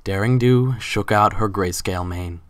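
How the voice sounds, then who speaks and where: Low-pitched man narrating a story. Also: moderate pace, a bit bright, no room sound. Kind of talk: dramatic scripted story narration.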